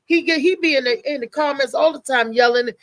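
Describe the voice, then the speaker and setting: A woman's voice: high voice, a little fast, no room sound, studio quality. A woman hosting a casual talk show, insistent, repeating her words as she makes her point and quotes others. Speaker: show host. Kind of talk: casual chat.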